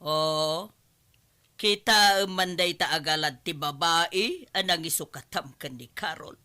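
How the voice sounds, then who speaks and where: A woman's voice: deep voice, slow pace, sharp tone, heavily acted. A woman acting a disapproving, meddling mother-in-law in a Filipino radio drama, with an Ilocano accent and a scolding manner.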